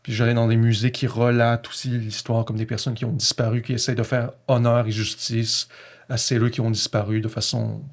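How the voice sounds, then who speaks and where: A man's voice: medium pitch, level tone, brisk. A man with a Québécois accent reflecting personally in conversation, feeling his way through sentences and often correcting himself. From Quebec, Canada.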